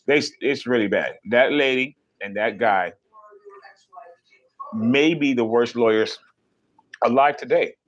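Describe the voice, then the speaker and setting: A man ranting on a casual live stream, animated and profane, mocking people with sudden high mimicking voices. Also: slow pace, pauses often, lo-fi sound.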